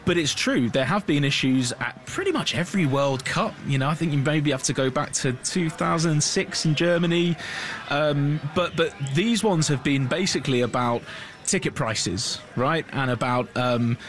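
A man's voice: fairly high pitch, moderate pace, a bit bright, soft background music. A man speaking as a business reporter in a live news discussion, conversational and often checking in with tag questions.

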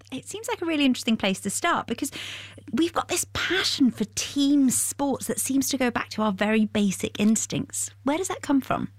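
A woman's voice: a little high, no echo, clean studio sound. A woman hosting a podcast, moving between scripted narration and interview questions. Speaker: podcast host.

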